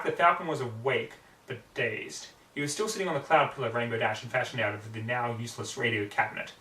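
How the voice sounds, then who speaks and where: A man reading a story aloud with hammy, expressive narration, recorded in a roomy space away from the microphone. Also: mid-range voice. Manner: hammy, theatrical. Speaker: amateur story reader. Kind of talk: expressive fan fiction narration. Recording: roomy echo, distant microphone.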